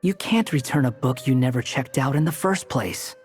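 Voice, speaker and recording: An aloof young male game character, played by a man, with a mid-range voice. He is dry and sharp-witted, explaining things with faint condescension while soft music plays behind. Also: medium pitch, expressive, moderate pace, lightly acted. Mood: sardonic explaining. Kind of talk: video game dialogue.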